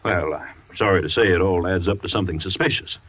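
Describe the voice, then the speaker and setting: An actor playing a hesitant family doctor who speaks reluctantly and apologetically, in old-time radio drama acting. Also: male voice, low-pitched, a little fast. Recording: dull old radio recording.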